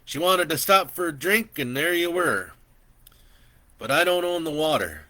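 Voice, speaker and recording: Man putting on an old rancher, friendly and easygoing, pitched above his own voice, bright tone, storybook reading. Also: animated, unhurried, dry recording. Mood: easygoing welcoming. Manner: easygoing, welcoming. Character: friendly older male horse-riding landowner.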